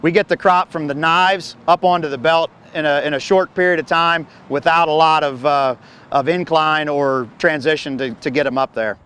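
A man in his thirties gives a product walkaround outdoors by a combine, talking plainly with lots of "uh" and restarts. His voice is smooth and resonant, a touch higher than most men's. It is picked up by a lapel mic in the open air. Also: a bit bright. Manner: plain explanatory, frequent uh fillers and restarts. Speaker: farm equipment company representative. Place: outdoors on a grassy field beside a combine.

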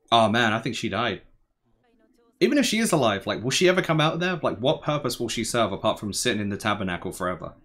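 A young man giving casual, slangy reaction commentary, musing aloud in a laid-back, tired, low-energy way. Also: a bit bright. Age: young adult. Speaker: anime reaction host. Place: small bedroom, indoors.

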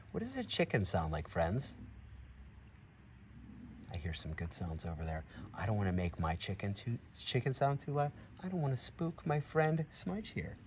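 Middle-aged man, animated storybook reading, encouraging teacher, swooping intonation, child-directed asides, outdoor background noise. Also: lowish voice. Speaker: teacher reading a picture book aloud to children. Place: outdoors in a grassy farmyard by a barn. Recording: clear outdoor background ambience.

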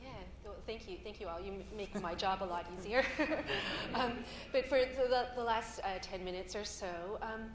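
A woman's voice: mid-range voice, moderate pace, few pauses, crowd noise. A woman in her forties from the Toronto area, moderating a panel discussion in a casual, laughing way. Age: 40s. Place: conference stage, indoors. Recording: roomy hall from far off, some noise.

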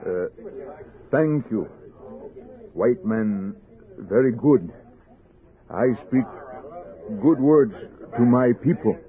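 An actor playing an earnest, stoic young Nez Perce warrior who speaks halting, broken English in a radio drama. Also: male voice, medium pitch, animated, a crowd in the background. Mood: grateful, earnest. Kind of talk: 1960s radio drama acting. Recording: thin phone-like old radio recording.

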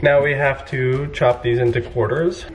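A man's voice: steady tone, moderate pace, a little hiss or hum. A young man filming a casual vlog in his home kitchen, joking and teasing as he cooks. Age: young adult. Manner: playful, teasing, talks to the dog in a high sing-song. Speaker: vlogger. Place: large home kitchen, indoors. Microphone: handheld camera at arm's length.

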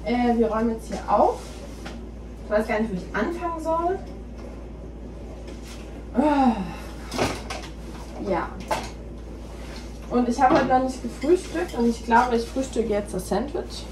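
A woman's voice: a little high, expressive, measured pace, long pauses. A young woman in her twenties chatting casually to her phone camera in a home kitchen, rambling with many fillers. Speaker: vlogger. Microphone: phone camera built-in mic. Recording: noisy phone recording.

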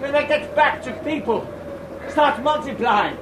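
An actor playing a frightened scientist in a radio drama, halting, repeating words and pleading. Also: male voice, animated. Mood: wary. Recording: distant, echoey, with loud rumbling noise behind.

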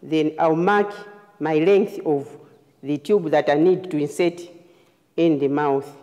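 A woman nurse giving a hands-on clinical demonstration, explaining phrase by phrase in a halting way. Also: sits low, warm tone, highs cut off. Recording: reverberant room, long echo.

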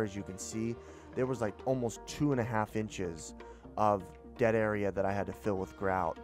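A man's voice: fairly low pitch, darkish tone. A young man, a home improvement vlogger, chatting casually through his tiling job with tips and frank admissions of his own mistakes. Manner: chatty, self-critical, explaining tips. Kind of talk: casual DIY voice-over. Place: small basement bathroom, indoors.

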